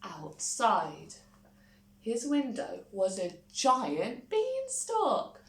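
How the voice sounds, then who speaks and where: Lady reading a kids picture book, super slow n lively, echoey room w faint noise. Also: on the high side. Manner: dramatic pauses and emphasis. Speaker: primary school story reader. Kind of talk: expressive children's picture-book reading with asides. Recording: roomy echo, faint background noise.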